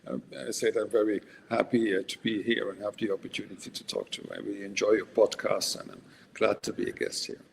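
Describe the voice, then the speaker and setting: A man, a podcast guest who speaks in a measured way and pauses often with "uh". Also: fairly low pitch, little pitch movement, creaky. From Netherlands. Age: 60s. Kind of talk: podcast interview. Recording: long reverb, faint background noise.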